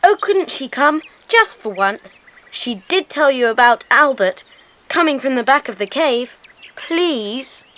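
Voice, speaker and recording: Kind pleading schoolgirl in a kids audio play, high voice. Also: expressive, measured pace, a bit bright. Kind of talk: children's audiobook dramatisation.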